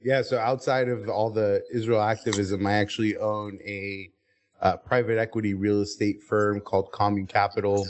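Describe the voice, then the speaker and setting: Low-voiced American man explaining things. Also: flat delivery, moderate pace. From United States, Southern California. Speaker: podcast guest. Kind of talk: interview conversation.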